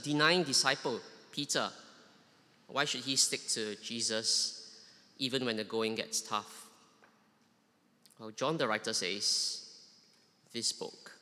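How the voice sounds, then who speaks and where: A man's voice: medium pitch, bright, forceful tone, big-room sound. A man from Singapore with a Singaporean accent preaching a sermon, with frequent "uh" fillers and emphatic repeated questions.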